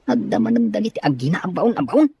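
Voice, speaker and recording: An actor playing a meek, slow-witted manservant in an audio drama, hesitant, stammering and bewildered. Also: male voice, high-pitched, lively intonation, gravelly, dark tone, slightly rough, no room sound, full character voice. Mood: deferential, hesitant.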